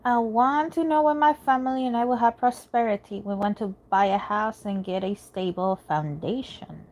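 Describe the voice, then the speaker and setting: A woman's voice: a little high, moderate pace, darkish tone. A woman whose first language is Spanish, doing a chatty live tarot reading and answering questions from chat as she thinks aloud. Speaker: tarot reader.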